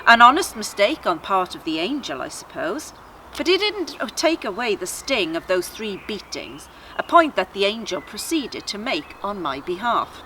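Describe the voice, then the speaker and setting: A sardonic female donkey played by a woman reader tells her tale with big swings of pitch and a bright, forward tone. She is chatty and aggrieved, in storybook style, over faint background noise. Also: moderate pace, poor audio. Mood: wry, amused. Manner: wry, chatty, expressive storytelling. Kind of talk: children's story read aloud.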